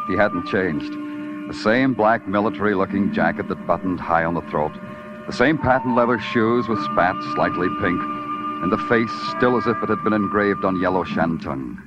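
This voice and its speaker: Low-voiced, world-weary radio detective. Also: male voice. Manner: brooding, poetic, wry delivery. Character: world-weary, wry hardboiled New York police detective. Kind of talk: 1940s radio noir acting and narration. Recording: dull old radio recording with loud music.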